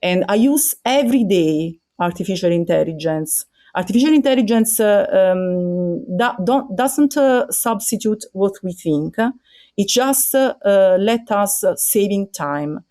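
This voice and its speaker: A middle-aged woman with an Italian accent, a teacher speaking English as a second language in a podcast interview, pausing often with fillers. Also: mid-range voice, expressive, warm tone. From Italy. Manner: hesitant with many fillers, non-native phrasing. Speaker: teacher guest. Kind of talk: interview, remote podcast conversation. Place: recording over the internet.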